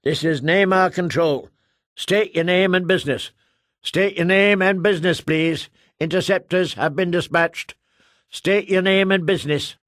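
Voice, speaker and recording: A man's voice: sits high, measured pace, a bit bright, no room sound, clean studio sound, pitched above the performer's own voice. A man voicing a stern, officious female traffic controller who repeats clipped official demands.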